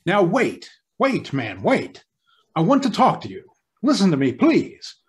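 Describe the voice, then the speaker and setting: Guy doing agitated urgent old radio doctor, high voice, very animated. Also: a little slow, heavily acted. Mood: urgent, pleading. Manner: urgent, insistent. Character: nervous, agitated male hospital doctor in charge. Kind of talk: old-time radio drama acting.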